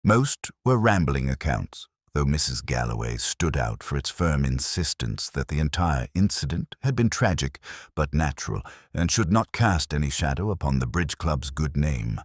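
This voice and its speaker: A man narrating a radio mystery in a measured, dryly ironic storytelling manner. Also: rumbling low, big pitch swings, no echo, clean studio sound.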